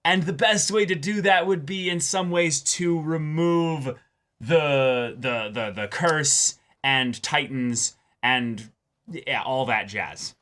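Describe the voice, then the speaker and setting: A man co-hosts a casual reaction discussion in a crisp, bright voice that sits a little high. He talks haltingly, doubling back and repeating phrases as he works through his commentary. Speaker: co-host of an anime reaction show.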